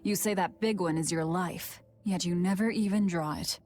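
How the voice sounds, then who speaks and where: An actress playing a cold, guarded young female demon fighter with a curt, deadpan manner in a video game. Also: on the low side, moderate pace, soft background music, natural voice. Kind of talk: English video game voice acting.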